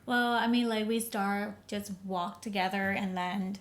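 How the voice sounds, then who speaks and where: A woman's voice: medium pitch, fairly even intonation, unhurried. A woman from Vietnam, co-hosting a podcast with her husband in casual, phrasing. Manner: warm, non-native phrasing, frequent laughs. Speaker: podcast co-host. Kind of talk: casual chat.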